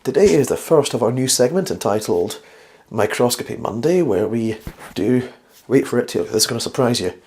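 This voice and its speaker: A man with a slightly croaky, flu-worn voice hosts a casual instructional science vlog, chatty and rambling with wry, self-deprecating asides. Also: medium pitch, animated, brisk, few pauses, a little mellow. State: getting over the flu. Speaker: host of a science video series.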